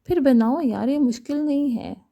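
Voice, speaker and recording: An encouraging young woman friend in a romantic story, voiced by a female narrator, gives practical advice. Her voice is raised in pitch, with a mellow tone. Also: moderate pace.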